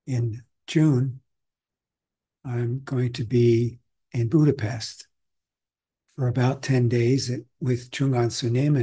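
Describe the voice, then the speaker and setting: Husky-voiced man giving a calm dharma talk. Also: medium pitch. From Austria. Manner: calm, reflective, conversational. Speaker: meditation teacher.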